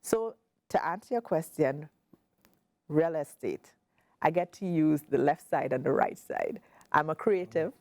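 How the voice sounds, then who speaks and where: Caribbean lady in her 40s, deep-ish voice, talks steady and stresses her points. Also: dry recording. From Grenada. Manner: measured, emphatic, repeats points for stress. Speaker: guest, company CEO. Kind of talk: casual interview talk.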